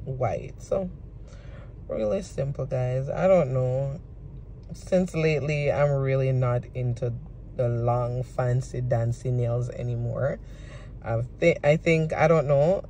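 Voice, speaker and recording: A woman's voice: rumbling low, dark tone, a little hiss or hum. A Jamaican woman chatting casually to her viewers in a vlog, rambling and calling them "guys". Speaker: lifestyle vlogger. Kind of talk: casual vlog talk to camera. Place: in a car, then a nail salon.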